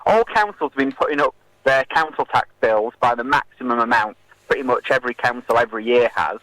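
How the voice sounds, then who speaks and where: Halting male political interviewee, dry close sound. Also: mid-range voice, fairly bright tone, dry recording, poor audio. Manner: hesitant, halting with many filled pauses. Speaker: political activist guest. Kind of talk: radio interview, political argument.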